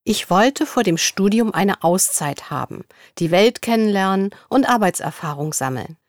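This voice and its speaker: A young woman in a studio, reading interview answers in a scripted dialogue at an even, clear pace. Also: medium pitch, moderate pace, no echo.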